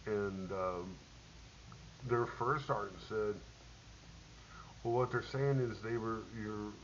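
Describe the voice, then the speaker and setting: A middle-aged man, a military veteran, telling a rambling personal war story, halting with many ums and restarts. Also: lowish voice, fairly even intonation. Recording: dull lo-fi audio with faint hiss.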